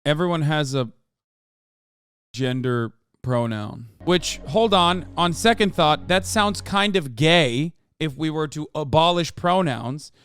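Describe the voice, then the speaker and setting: A man's voice: a little high, slow pace, a bit bright, smooth. A man whose first language is Turkish, hosting a casual live-stream news commentary with profane, sarcastic rants. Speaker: streamer host.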